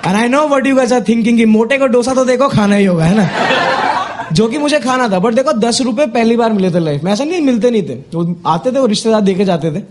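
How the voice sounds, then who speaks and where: A man's voice: very high-pitched, expressive, brisk, crowd noise. A young man with an Indian accent doing conversational, exasperated stand-up storytelling into a handheld microphone, acting out dialogues as he goes. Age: young adult. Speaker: stand-up comedian. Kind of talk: stand-up comedy storytelling in casual Hinglish. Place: small indoor comedy club stage. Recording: noisy live club with audience laughter. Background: audience laughter and noise.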